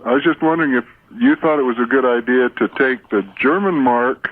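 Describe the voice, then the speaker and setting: A man's voice: medium pitch, a little fast. An American man calling a radio show, asking his question casually in a polite, plain-spoken way over the telephone. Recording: tinny, narrow telephone line.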